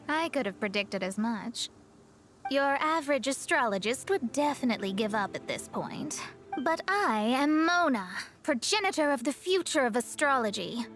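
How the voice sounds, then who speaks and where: A woman voicing a proud, self-assured young female astrologist in a video game, articulate and a little haughty. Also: fairly high pitch. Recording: faint music behind the voice.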